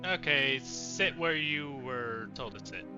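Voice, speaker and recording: A man doing casual, playful let's play commentary, irreverent and quick to swear. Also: mid-range voice, expressive, highs cut off, loud music behind the voice. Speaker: game streamer.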